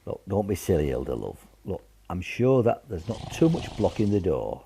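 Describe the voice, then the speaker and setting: A man's voice: sits low, big pitch swings, normal speed, vocal fry, dark tone, exaggerated voice. A man playing a self-satisfied, teasing English wartime husband and father in an amateur radio play. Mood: reassuring. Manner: chuckling, teasing, boastful. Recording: faint noise with clicks.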